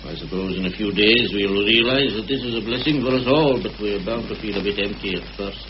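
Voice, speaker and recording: An actor playing a kindly, plain-spoken older village police sergeant in a mid-century radio drama. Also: male voice, lowish voice, brisk, close to an everyday voice. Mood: gentle, sympathetic. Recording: muffled, noisy old radio recording.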